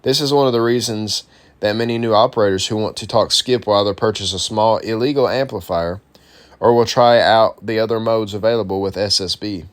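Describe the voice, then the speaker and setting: A man presenting an instructional guide, reading a script aloud in an informal, explanatory way. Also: lowish voice. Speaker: presenter of a CB radio how-to guide.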